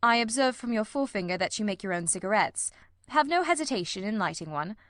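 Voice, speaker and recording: A woman voicing a shrewd, self-assured male detective in an audiobook, with a brisk, precise and dryly witty manner. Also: medium pitch, lively intonation, fairly bright tone, no room sound, close to an everyday voice. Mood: polite, probing. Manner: brisk, precise, dry, commanding. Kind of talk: audiobook character voice.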